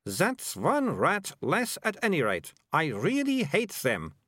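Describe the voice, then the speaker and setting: A haughty storybook girl, acted by a male reader, pitched high. She is blunt, dismissive and scornful, with lively swings in tone. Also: slow pace, no echo, clean studio sound, full character voice. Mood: triumphant, scornful. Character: blunt, scornful girl who hates rats.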